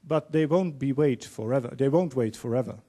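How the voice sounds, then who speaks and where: A man in his fifties with a Dutch accent, giving an earnest, measured opening speech as panel moderator in a large auditorium, holding a handheld mic. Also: medium pitch, warm tone, husky. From Netherlands. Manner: earnest, measured, quoting speakers.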